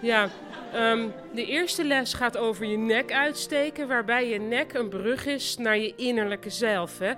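A woman's voice: on the high side, measured pace, music in the background, lightly acted. An actress playing an earnest, airy self-help devotee, woolly in her explaining, in a radio play. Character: earnest, airy spiritual seeker full of self-help wisdom. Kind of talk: radio drama acting.

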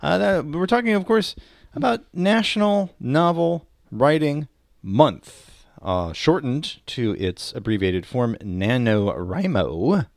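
Male podcast co-host, casual and comedic, expressive pitch movement, opinionated wry delivery, very dry recording. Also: slow pace, vocal fry, no echo.

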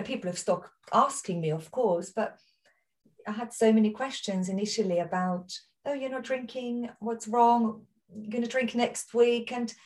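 A woman's voice: mid-range voice, darkish tone, far from the mic. A woman from Austria with an Austrian accent, a podcast guest talking earnestly in casual chat. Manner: earnest, storytelling.

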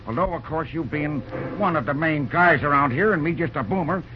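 A man's voice: a little high, animated, fairly quick, close to an everyday voice. An actor playing a wary, folksy American railroad fireman, a loyal drifter with slangy, conspiratorial talk, in an old-time radio drama. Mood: confiding, suspicious. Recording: dull, lo-fi old radio broadcast recording.

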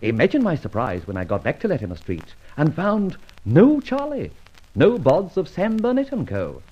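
An actor playing a cool, wry gentleman adventurer in an old radio serial, speaking in a clipped, brisk and self-assured way. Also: fairly high pitch, huge pitch swings, breathy edge, muffled recording, full character voice. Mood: wry storytelling. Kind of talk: 1940s-50s radio adventure serial acting, first-person narration and dialogue.

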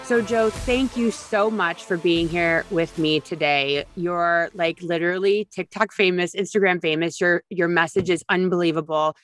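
A woman's voice: on the low side, normal speed, few pauses, fairly bright tone, soft background music. A woman hosting a podcast, talking in an effusive, gushing way to her guest and listeners. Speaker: podcast host. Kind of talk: casual podcast chat and ad read.

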